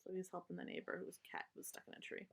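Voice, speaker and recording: A woman reading a children's storybook aloud expressively, pausing to explain words simply in an emphatic way for young listeners. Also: fairly low pitch, completely flat delivery, vocal fry.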